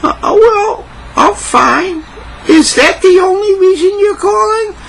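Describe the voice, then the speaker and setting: A man putting on a squeaky falsetto young woman. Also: slow, creaky, a bit bright, heavily acted. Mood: eager. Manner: high squeaky falsetto, hesitant. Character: young woman on a phone date call. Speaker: lady being asked out.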